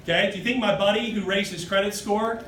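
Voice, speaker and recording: Man in his thirties, emphatic sales presenter, fairly high voice, roomy, distant phone recording. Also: fairly even intonation, quick pace, a bit bright, slight background noise. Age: 30s. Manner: emphatic, keeps asking "okay?" and "true?", gestures broadly while standing. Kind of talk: motivational sales pitch. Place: hotel meeting room, indoors. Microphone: far phone camera in the audience.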